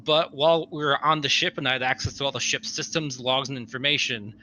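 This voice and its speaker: Man, very bright forceful voice, middle pitch, casual tabletop player recap, faint background noise. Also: fairly even intonation, fast, poor audio. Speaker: player in a tabletop roleplaying game. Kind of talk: casual game debrief, recapping a character's agendas.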